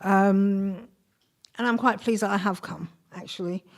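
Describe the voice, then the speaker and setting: A woman's voice: medium pitch, steady tone, unhurried. A woman from Nigeria, a nurse, introducing herself at a seminar; shy and hesitant, tired after a night shift. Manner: shy, hesitant, many ums. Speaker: nurse and community association secretary. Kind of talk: speaking in a seminar introduction round.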